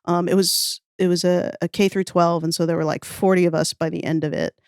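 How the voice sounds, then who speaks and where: A woman's voice: fairly low pitch, flat delivery, a little fast, a little mellow, clear, resonant tone, no room sound, studio quality. An American woman from New Orleans chatting casually as a podcast guest, with an informal, self-deprecating, hedging way of talking. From New Orleans, United States. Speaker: podcast guest, audio fiction writer. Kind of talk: casual interview chat, reminiscing.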